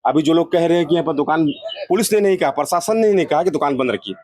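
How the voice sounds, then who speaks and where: A man's voice: a little high, lively intonation, quick pace, darkish tone. A man from India speaking as a local resident in a street interview, making earnest, repetitive and emphatic appeals. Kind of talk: street interview, opinion statement.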